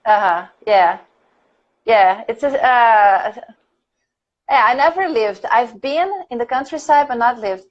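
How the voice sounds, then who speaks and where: Brazilian woman, English teacher, higher-pitched voice, chatty, laughing easily, muffled lo-fi sound. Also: slow pace. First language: Portuguese. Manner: chatty, laughs often, thinks aloud with fillers. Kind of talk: casual conversation class on a livestream.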